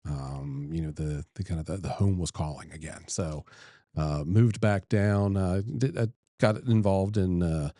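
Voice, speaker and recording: Deep-voiced, easygoing middle-aged man. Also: mellow, dry recording, clean studio sound. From Delaware, USA. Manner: easygoing, folksy, unhurried storytelling. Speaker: state senator, guest. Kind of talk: casual interview.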